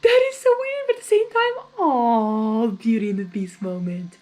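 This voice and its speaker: A woman reading fan fiction aloud as expressive narration, with casual chatty asides. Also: a little high, normal speed, faint music behind.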